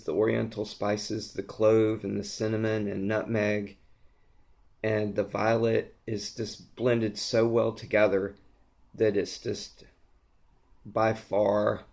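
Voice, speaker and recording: Hoarse male vlogger, low and fairly monotone, enthusiastic rambling reviewer full of ums. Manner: gushing, rambling, lots of ums and restarts. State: hoarse, losing his voice. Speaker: fragrance reviewer. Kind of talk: casual product review vlog.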